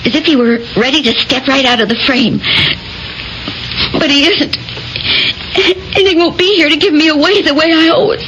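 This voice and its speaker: Actress as emotional young fiancée, noisy radio recording. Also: female voice, high-pitched, moderate pace, pauses often, dull audio, close to an everyday voice. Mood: grieving, anxious. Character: affectionate, anxious young engaged woman, surgeon's kid sister. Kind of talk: old-time radio drama acting.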